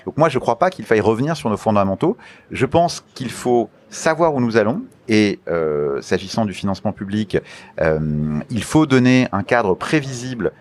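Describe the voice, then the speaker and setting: A man giving a formal interview answer as a guest, speaking in a measured, deliberate way with frequent pauses. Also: on the low side, very animated. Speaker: interview guest, media regulator official.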